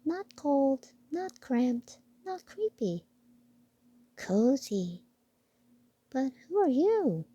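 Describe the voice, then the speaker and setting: A woman voicing a small, fussy but tender-hearted mouse with a squeaky voice and short, emphatic phrases in a children's storybook. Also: big pitch swings, very slow, pauses often, dark tone, no echo, muffled recording, exaggerated voice. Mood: delighted, curious.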